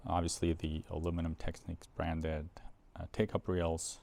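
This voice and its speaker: A man's voice: sits low, little pitch movement, normal speed, vocal fry, darkish tone. A man giving a casual, explanatory product demonstration in an informal way, as a presenter for an equipment seller.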